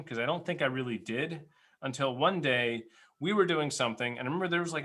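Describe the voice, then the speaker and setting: Man, forties, podcast host, bright forceful voice, casual storyteller, animated retelling. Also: mid-range voice. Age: 40s.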